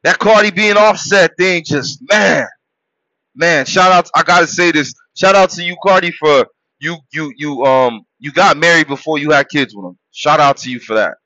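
A man born in Haiti preaches to his listeners in a high, bright, forceful voice, shouting some points and dropping to a low murmur for others. The recording is dull and dry, with no room sound. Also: normal speed, no room sound. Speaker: podcast host. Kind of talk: motivational monologue.

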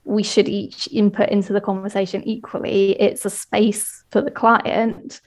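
A woman coach talking calmly and in a measured way in a podcast conversation. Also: medium pitch. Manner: calm, measured, soothing. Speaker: ADHD coach.

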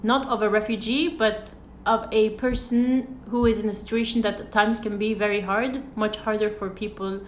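A woman hosts a podcast interview in a hesitant manner, often pausing and restarting. Her voice sits at a middle pitch. The room is echoey, with faint background noise. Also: flat delivery. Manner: hesitant, many fillers and restarts. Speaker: podcast host. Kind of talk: interview conversation.

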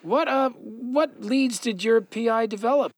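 Awkward, higher-voiced detective. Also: female voice, measured pace, dry recording. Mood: awkward, flustered. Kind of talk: audio drama acting.

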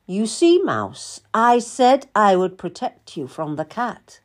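A domineering, boisterous king, acted out by a woman reading a historical story. He talks down to everyone in slow, commanding phrases, with emphatic swings in pitch. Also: sing-song. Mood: teasing.

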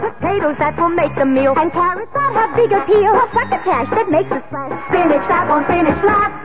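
A woman's voice: very high-pitched, lively intonation, moderate pace. A woman singing a scripted 1970s radio supermarket advertising jingle. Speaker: commercial jingle singer. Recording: lo-fi 1970s radio ad, loud music.

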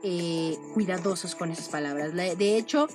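A woman's voice: fairly low pitch, moderate pace. A woman hosting a podcast, talking casually and tagging most sentences with a questioning "no?". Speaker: podcast host. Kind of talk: casual monologue. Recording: music playing under the voice.

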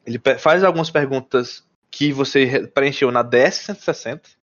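A man's voice: mid-range voice, fairly quick, a bit bright, dull audio. A Brazilian man casually recounting his trip, rambling with restarts and slang. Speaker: guest telling about living abroad. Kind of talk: casual chat.

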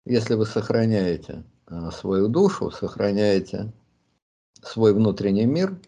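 Low, husky man musing slowly. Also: animated, mellow. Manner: thoughtful, pauses with many hesitations. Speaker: commentator answering listeners' questions. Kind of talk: reflective spoken monologue.

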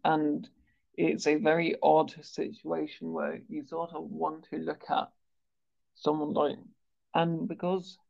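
A man with a stammer who speaks haltingly, repeating words and sounds as he hosts a conversational interview. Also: fairly high pitch, completely flat delivery, gravelly. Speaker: interviewer and stammering awareness campaigner. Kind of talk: conversational interview on a video call. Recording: dull, lo-fi video call audio.

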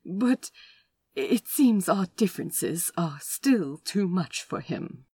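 Woman playing soft-hearted polite guy in an audio drama, husky, very expressive, close to tears. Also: fairly low pitch, slow pace, dry recording, full character voice. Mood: choked, grieving. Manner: polite, genteel, old-fashioned phrasing. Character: gentle, tearful, mannerly man, holding back grief.

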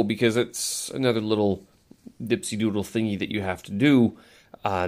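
A man with a stuffy, nasal voice from a cold, talking casually and rambling on his solo audio blog, with many fillers and self-corrections. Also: low voice.

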